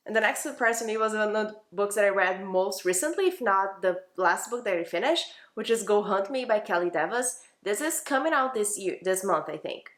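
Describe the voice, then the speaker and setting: Casting: female book vlogger, mid-pitched, chatty and opinionated, informal delivery, recorded in a roomy, echoing space. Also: expressive, fairly quick, few pauses, a bit bright. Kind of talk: casual chatty book review to camera.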